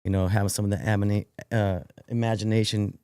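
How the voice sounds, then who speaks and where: A man in his forties talking in a low, even, mellow voice. His tone is smooth and clear, with a laid-back delivery padded with fillers. The studio sound is dry and close. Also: quick pace, no room sound. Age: 40s. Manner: laid-back, many "like" and "you know" fillers. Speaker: guest hip hop artist. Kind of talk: casual interview chat. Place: podcast studio.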